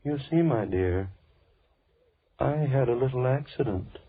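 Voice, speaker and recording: An actor playing a menacing, deranged villain in 1940s radio horror, theatrical and volatile, shifting between coaxing and anger. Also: male voice, mid-range voice, animated, a little slow, husky, dry recording. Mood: coaxing menace. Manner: theatrical, gloating, swinging from coaxing to angry. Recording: muffled old radio recording, crackly background.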